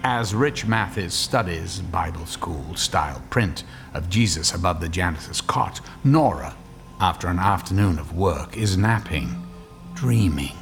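Guy narrating an audio drama, lowish gravelly voice, music behind. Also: lively intonation, a little slow.

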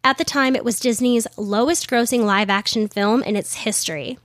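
A woman's voice: a little high, normal speed, dry recording, clean studio sound. A woman hosting a casual history podcast, chatty with wry asides, her voice slightly worn from being a little sick. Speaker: podcast host.

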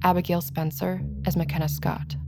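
A woman announcer reading scripted drama credits in a measured, neutral way. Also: fairly low pitch, flat delivery, vocal fry, noisy background. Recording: loud theme music behind.

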